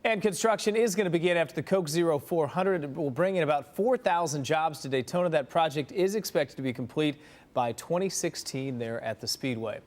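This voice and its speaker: A man's voice: a little high, brisk. An American man reading the news as a morning television anchor.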